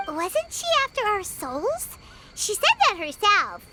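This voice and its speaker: A woman voicing a tiny, childlike, excitable and easily frightened fairy companion in a video game, squeaky and expressive. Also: heavy background noise, exaggerated voice. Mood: excited, questioning. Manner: refers to self in third person, expressive, drawn-out exclamations.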